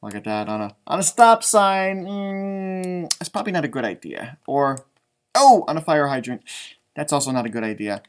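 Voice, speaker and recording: An American man reads a picture book aloud to young children in a teacher's expressive way. He draws out key words for emphasis and slips between the written story and chatty asides. His explanations are simple and aimed at small listeners. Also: a little high, moderate pace, smooth. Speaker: elementary music teacher reading storybooks aloud.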